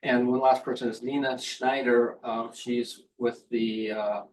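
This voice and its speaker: Male host at a book event, flat delivery, distant mic. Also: mid-range voice, a little slow, slight background noise.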